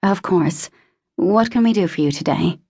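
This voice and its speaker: A woman voicing a patient, unfazed female innkeeper in a novel, with a mild, gentle, diplomatic manner. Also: lowish voice, normal speed, slightly rough, dry recording, clean studio sound.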